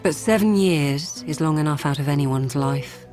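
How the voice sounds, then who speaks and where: Woman playing calm ruthless politician, measured delivery, quietly menacing, radio drama narration, music underneath. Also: deep voice, natural voice. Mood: cool, controlled. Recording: music behind the voice.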